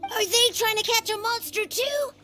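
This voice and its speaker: Eager little game child: very high, bright, bouncing pitch, music underneath. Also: female voice, normal speed. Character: excitable, eager small child who loves candy. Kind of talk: English video game voice acting.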